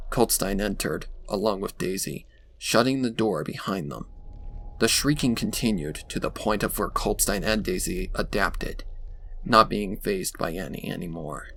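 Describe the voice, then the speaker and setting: A man's voice: on the low side, normal speed, fairly bright tone, slight background noise. A man reading scripted fan fiction as a dramatic narrator. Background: background music.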